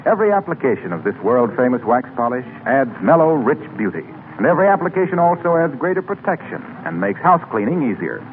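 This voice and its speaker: A man announcing a radio commercial in a polished, measured 1940s sales style. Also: medium pitch, sing-song, narrow, tinny audio. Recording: thin 1940s radio with faint hiss.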